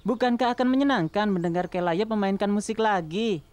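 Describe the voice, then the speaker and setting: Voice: medium pitch, a little fast, a little mellow, close to an everyday voice. An actor playing a curious, hopeful young male tank engine in a children's cartoon dub, wondering and eager. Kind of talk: dubbed children's cartoon acting. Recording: music behind.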